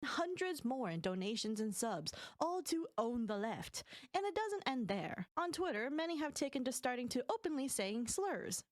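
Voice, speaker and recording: A woman's voice: sits high, sing-song, moderate pace, hardly any pauses, no room sound, clean studio sound. A woman narrating a scripted commentary video with indignant, emphatic delivery.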